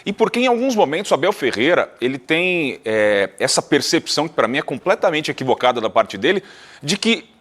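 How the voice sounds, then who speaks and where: A Brazilian man, a radio journalist guest, arguing a point in a measured way with hesitant fillers. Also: fairly high pitch, big pitch swings, fairly bright tone. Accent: Brazilian Portuguese. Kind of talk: sports debate commentary.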